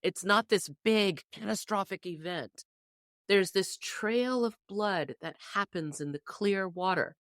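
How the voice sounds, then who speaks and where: A woman podcast panelist casually discussing a folk tale, telling it in an expressive storytelling way. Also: mid-range voice, measured pace, no echo, clean studio sound.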